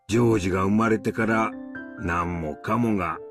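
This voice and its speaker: An actor playing an easygoing middle-aged husband in a Japanese visual novel, placating and folksy, with a Kansai accent. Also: male voice, on the low side, quick pace, faint music behind, lightly acted. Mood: nostalgic.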